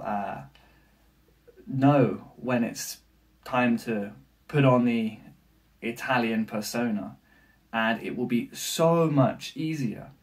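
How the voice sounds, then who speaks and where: A young man with an English accent, giving animated to-camera language-learning tips as a coach in a small room. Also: mid-range voice, far from the mic. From England. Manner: animated, gestures with hands, many fillers. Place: small room with bookshelf, indoors.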